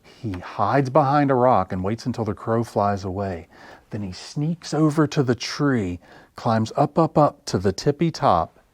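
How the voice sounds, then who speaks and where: A man reading picture books aloud for children in an expressive, deliberate storytelling style. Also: mid-range voice, dry recording. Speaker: library story time reader. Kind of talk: animated picture-book narration for children.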